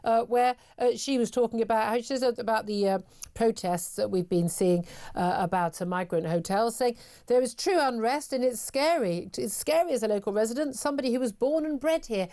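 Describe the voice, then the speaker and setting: A woman's voice: fairly high pitch, fairly quick, warm tone. A woman hosting a political talk radio show, emphatic and sarcastic. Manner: emphatic and sarcastic, many fillers.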